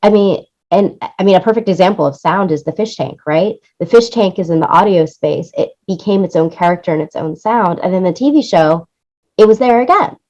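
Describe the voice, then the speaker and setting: Low-pitched woman, emphatic, slightly dull audio. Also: expressive, a little fast, darkish tone. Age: late thirties. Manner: emphatic, repeats points for stress. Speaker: interview guest, music supervisor and producer. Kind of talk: casual interview talk.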